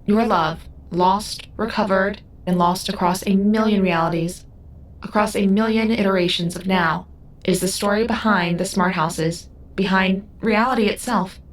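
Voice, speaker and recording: A woman's voice: mid-range voice, steady tone, a little slow, lightly acted, poor audio. Woman voicing a young otherworldly girl guide, solemn and knowing, bit wry, audio drama.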